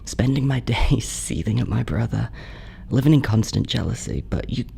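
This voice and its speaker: A man playing a bitter, neglected older sibling in a horror monologue, hushed and confessional, often slipping into whispers. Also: mid-range voice, expressive, normal speed, creaky, slight background noise. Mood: seething, jealous.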